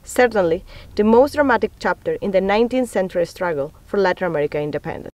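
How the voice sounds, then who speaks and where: A woman's voice: medium pitch, animated, fast, darkish tone, no echo. A woman from Argentina with an Argentine Spanish accent, a native Spanish speaker, narrating an artist's project from a script. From San Juan, Argentina. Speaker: interdisciplinary artist.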